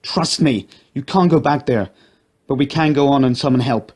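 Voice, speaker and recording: A man voicing a gentleman who firmly and urgently urges others on to safety. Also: mid-range voice, quick pace, voice pushed up, lightly acted. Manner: firm, urgent, reassuring. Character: calm urgent male gentleman guiding others.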